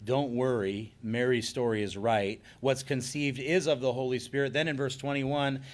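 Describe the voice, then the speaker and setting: A man preaching a sermon, earnest and emphatic, slowing down on key phrases and then leading a closing prayer. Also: mid-range voice, fairly even intonation. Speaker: pastor.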